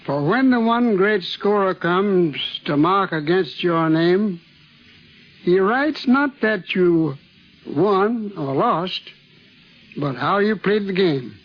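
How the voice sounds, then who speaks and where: Slow, high-voiced elderly Southern man. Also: lively intonation. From Mississippi, United States. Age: 70s or older. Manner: deliberate, formal, old-fashioned wording. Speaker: retired professor. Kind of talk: reminiscing in a recorded interview. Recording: dull 1950s tape, crowd noise behind.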